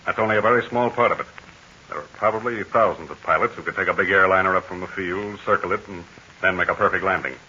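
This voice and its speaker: An actor playing a calm, fatherly heroic aviator in a 1940s radio serial, speaking in measured, assured tones. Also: low-pitched. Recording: dull scratchy 1940 radio transcription.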